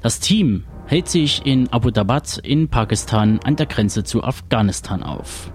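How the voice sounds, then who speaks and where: A man's voice: medium pitch, animated, unhurried, darkish tone. A man reading a scripted film synopsis as an announcer.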